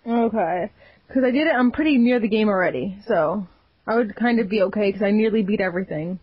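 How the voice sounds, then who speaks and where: A woman's voice: medium pitch, brisk, husky, dry recording. A woman co-hosting a casual gaming podcast, rambling with many fillers. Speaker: podcast co-host. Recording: dull lo-fi sound.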